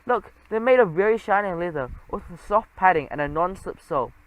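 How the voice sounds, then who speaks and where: A man's voice: high-pitched, animated, a little fast, a bit bright, no room sound, pitched above the performer's own voice, rough recording. A man voicing a fawning, pushy shop clerk mouse in an audiobook, gushing and insistent. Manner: gushing and insistent sales patter. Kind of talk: audiobook character voice.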